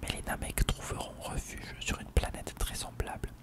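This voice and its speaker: An ASMR narrator reading encyclopedic text in a breathy, unvoiced whisper, measured and careful.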